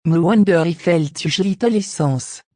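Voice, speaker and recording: A vain, bitter former noblewoman who hisses and shrills, as a woman narrator puts her on. Her voice sits low and mellow, and the sound is dry and close. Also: normal speed, no echo, close to an everyday voice. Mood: scornful. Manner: shrill, hissing, scornful.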